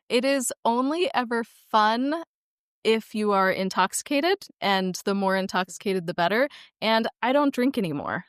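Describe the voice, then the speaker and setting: A woman's voice: medium pitch, clean tone, no room sound, clean studio sound. A woman co-hosting a podcast in casual chat, candid and emphatic. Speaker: podcast co-host.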